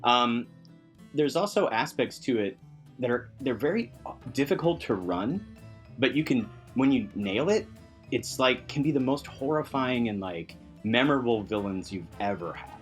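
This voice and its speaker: A man chatting excitedly and casually as a podcast guest and game designer, enthusiastic and quick to chuckle. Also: mid-range voice, soft background music.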